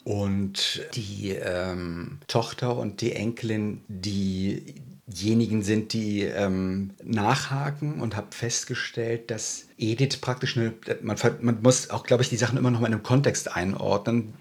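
A man answering interview questions in a thoughtful way, often pausing and searching for words. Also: lowish voice, fairly even intonation, husky. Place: Berlin.

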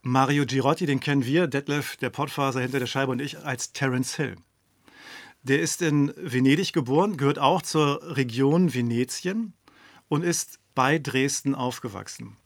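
A man's voice: mid-range voice, normal speed, gravelly, dry recording. A man hosting a podcast interview, chatty and curious, asking his guest leading questions. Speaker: podcast host. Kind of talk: conversational interview.

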